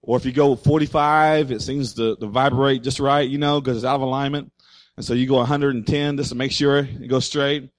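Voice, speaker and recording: A man preaching a sermon as pastor, slightly hoarse, speaking loudly and emphatically and calling out to the congregation. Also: medium pitch, fairly even intonation, brisk, dry recording. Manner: loud, emphatic preaching with audience call-outs. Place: church.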